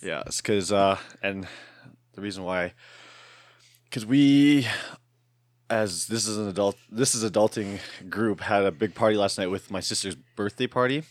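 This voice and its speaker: A podcast host in his thirties talks loosely and rambles, laughing between thoughts. His voice is very low and raspy, worn hoarse. The sound is close and dry. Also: unhurried, no room sound. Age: 30s. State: hoarse from shouting the night before. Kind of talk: casual podcast chat.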